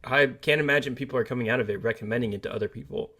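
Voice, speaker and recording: A man's voice: medium pitch, rapid-fire, sharp tone. A man co-hosting a podcast, talking casually in a dry, skeptical and pessimistic way. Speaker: podcast co-host. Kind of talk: casual movie discussion.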